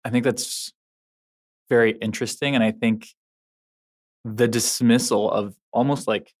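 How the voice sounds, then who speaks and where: A man's voice: mid-range voice, steady tone, darkish tone. A man from the Middle East hosting a podcast, talking casually with fillers. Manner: casual, many fillers, quick agreement. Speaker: podcast host. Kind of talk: podcast conversation.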